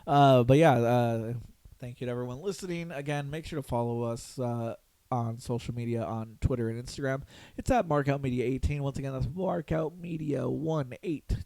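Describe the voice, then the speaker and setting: A hoarse man hosting a podcast in loose, rambling casual chat, full of fillers and swearing. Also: mid-range voice, moderate pace, mellow, dry recording. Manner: rambling, loose and profane, with lots of fillers and laughs. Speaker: podcast host.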